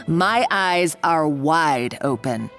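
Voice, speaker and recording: A woman's voice: deep voice, wildly swooping pitch, very slow, a bit bright, exaggerated voice. An actress playing a smug, scornful, greedy young woman villain in a video game, with a theatrical, sneering manner. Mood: gloating, scheming. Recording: game music behind the voice. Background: music.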